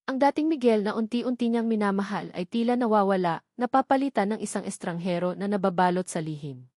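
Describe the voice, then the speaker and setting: A woman narrates a dramatic love story in a Filipino accent. Her voice sits at a middle pitch. The recording is dry, with no room sound around her. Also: a little mellow, no room sound.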